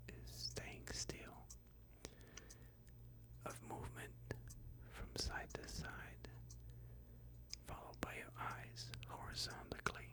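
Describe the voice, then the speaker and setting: A host whispering a sleep hypnosis session in a breathy, soothing, deliberate way, phrase by phrase.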